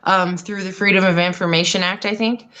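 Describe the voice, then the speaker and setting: A woman's voice: on the low side, fairly even intonation, brisk, pushed and bright, dull audio. A woman hosting a casual live chat, rambling and trailing off as she talks, from Colorado. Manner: chatty, rambling, trails off mid-sentence. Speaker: live stream host.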